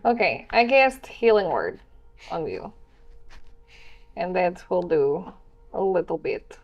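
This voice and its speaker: A woman's voice: fairly low pitch, very animated, slow, dull audio, faint music behind. A woman playing a tabletop game, chatting casually and thinking aloud in a scattered way.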